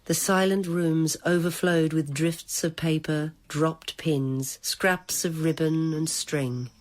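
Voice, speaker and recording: A woman narrating a literary story in a measured, deliberate, dramatic style. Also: sits low, fairly even intonation.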